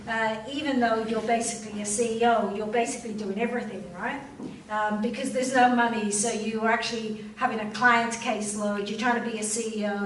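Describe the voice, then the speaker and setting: A woman's voice: mid-range voice, a little hiss or hum. Welsh woman speaking in an echoey hall. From Wales. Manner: warm, informal speaking with many ums and direct questions to the audience. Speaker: chief executive giving a speech. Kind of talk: speech to an audience at an event. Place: large room at a volunteer event. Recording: echoey room, voice from far off.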